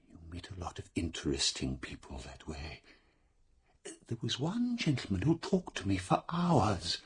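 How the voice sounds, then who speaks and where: Casting: a downtrodden, troubled veteran in radio drama, very husky, halting, swooping in pitch. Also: male voice, heavily acted. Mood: haunted, wistful. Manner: hesitant, halting, stammering repeats. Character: troubled, timid, downtrodden war veteran accused of murder.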